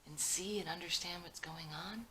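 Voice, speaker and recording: A woman's voice: fairly low pitch, normal speed, sharp tone, a little hiss or hum, poor audio. A woman voicing an earnest, idealistic and insistent young dreamer in a dramatic script reading. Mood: earnest, hopeful.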